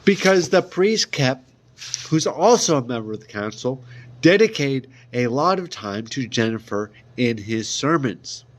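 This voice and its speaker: A man reading a fantasy novel aloud as an amateur, halting at times, with small stumbles and repeated words. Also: mid-range voice, lively intonation. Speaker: amateur reader.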